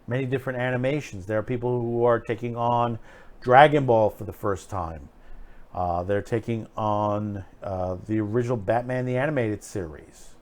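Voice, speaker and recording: A man hosting an online film show, giving unscripted, opinionated commentary in a halting, rambling way with emphatic repeated phrases. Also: on the low side, a little mellow.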